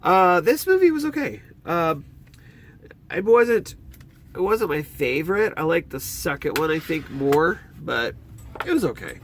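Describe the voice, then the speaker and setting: A man with a stuffed-up nose chatting casually through his movie collection as a vlogger, rambling and talking in a lively way. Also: a little high, unhurried, slight background noise. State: congested nose.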